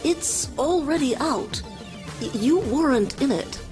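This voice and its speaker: A woman's voice: sits high, lively intonation, slow pace, music in the background. A woman playing an upbeat interviewer in a video game.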